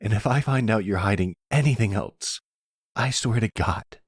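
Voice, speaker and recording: Man voicing an indignant young son, higher-pitched and blunt. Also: moderate pace, slightly rough, no echo, clean studio sound. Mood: hurt, angry. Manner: slangy, blunt, exasperated. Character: hot-headed young son, indignant but loyal brother. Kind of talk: ASMR audio roleplay drama.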